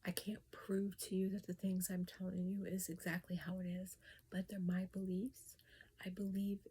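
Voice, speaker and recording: A woman talking casually about spirits and grief in a soft whisper, hesitant and full of restarts. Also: on the low side, monotone, normal speed, breathy edge. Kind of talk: casual personal talk.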